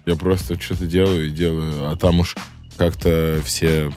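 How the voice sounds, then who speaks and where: A man's voice: low voice, little pitch movement, unhurried, a little mellow, faint music behind. A man of about thirty talking casually and slangily as an interviewed rapper, reflective and searching for words.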